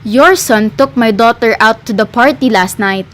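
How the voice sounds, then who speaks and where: A woman's voice: a little high, normal speed, natural voice. A woman playing a fretful, demanding mother who questions insistently in an amateur radio play.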